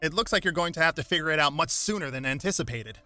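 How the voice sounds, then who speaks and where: A measured, brainy male scientist hero , acted by a man, explains things precisely in storybook style. The voice is bright, over faint music. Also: fairly high pitch, animated, pitched above the performer's own voice. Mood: grave.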